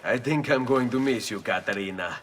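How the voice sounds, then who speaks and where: An actor playing a bold young Italian assassin, speaking with an Italian accent in a steady, determined way. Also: male voice, mid-range voice, a little fast, recorded from a distance, a little hiss or hum, close to an everyday voice. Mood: resolute. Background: orchestral score.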